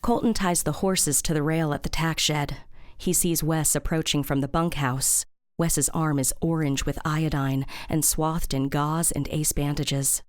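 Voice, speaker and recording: Low-pitched woman narrator. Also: steady tone, unhurried. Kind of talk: scripted audio drama narration.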